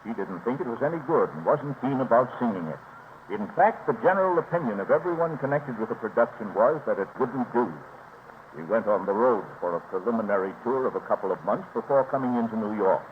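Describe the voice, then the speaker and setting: An actor playing a middle-aged composer reminiscing in a measured, unhurried way in a 1920s radio dramatisation. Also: male voice, medium pitch, animated, natural voice. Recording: scratchy, narrow-band 1920s radio transcription, noisy.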